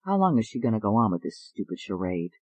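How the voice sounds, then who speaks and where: Baffled skeptical guy, as a reader puts him on, low muttery voice. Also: sing-song, fast, darkish tone, no room sound. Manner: muttering inner thoughts. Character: skeptical bewildered young male lawyer. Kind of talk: audiobook character voice.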